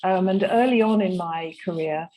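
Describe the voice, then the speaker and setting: Middle-aged British-raised woman, panelist, lowish fluent voice. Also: moderate pace, dark tone, low-quality recording. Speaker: company CEO, panelist. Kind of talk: roundtable panel talk.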